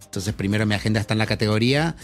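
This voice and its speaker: Argentine man talking over soft music. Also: lowish voice, very fast. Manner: thoughtful, many fillers and restarts. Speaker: marketing executive guest. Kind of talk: conversational podcast interview.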